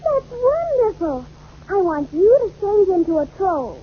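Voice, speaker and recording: A woman's voice: extremely high pitch, sing-song, measured pace, heavily acted. A woman playing an imaginative, bossy little girl in an old radio comedy. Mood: excited, demanding. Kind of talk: 1940s radio comedy acting. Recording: noisy, muffled old-time radio recording.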